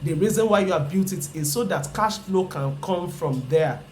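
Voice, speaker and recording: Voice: mid-range voice, normal speed, slight background noise. A Yoruba-speaking teacher from Nigeria, with a Nigerian accent, gives an explanatory personal finance lecture. The speaker repeats each point emphatically and keeps asking the listener rhetorical questions.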